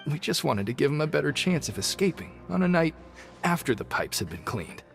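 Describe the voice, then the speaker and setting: A man's voice: a little high, very animated, a little fast, vocal fry, music in the background. A devoted prison crewman. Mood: loyal. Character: devoted male prisoner crew member, loyal to his boss.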